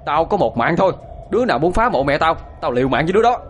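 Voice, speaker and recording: A man voicing a hot-headed, fiercely loyal young man who shouts and curses in defiance. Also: on the high side, sing-song, racing, faint music behind, voice pushed up. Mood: furious.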